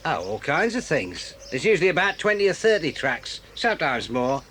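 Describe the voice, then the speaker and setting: A man's voice: a little high, very animated, moderate pace, pushed and bright, a little hiss or hum. An actor playing a curt, grudging railway signalman in a radio drama, explaining the points and warning children away. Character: gruff male railway signalman.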